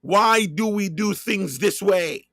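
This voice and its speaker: A man from Jamaica with a Jamaican accent, preaching in a slow sermon-style monologue and hammering his points home by repeating and spelling out key words. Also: high-pitched, fairly bright tone, no room sound, studio quality.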